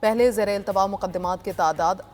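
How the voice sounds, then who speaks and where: A woman's voice: medium pitch, normal speed. A woman with a Pakistani accent reading scripted news headlines as an anchor. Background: music bed.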